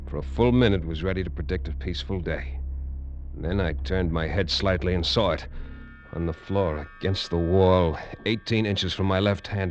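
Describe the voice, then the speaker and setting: A man's voice: deep voice, lightly acted. An actor playing a tough, wary man who narrates his adventure in terse, hard-boiled 1940s radio style. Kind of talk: 1940s radio acting, first-person noir narration. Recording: dull vintage radio recording, faint music.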